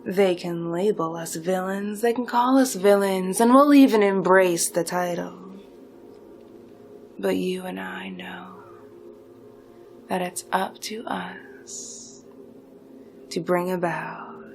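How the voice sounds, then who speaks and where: A woman playing a conspiratorial, seductive supervillain recruiter in a theatrical roleplay, speaking with dramatic pauses and hushed, breathy passages. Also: lowish voice, slight background noise. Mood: conspiratorial, enticing. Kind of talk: slow theatrical roleplay monologue, partly whispered. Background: music.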